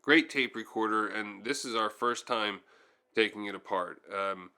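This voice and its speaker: Male tutorial host for hands-on repair narration; lower register, smooth clear tone, informal delivery. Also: a little slow, bright, forceful tone. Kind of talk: hands-on how-to narration, casual.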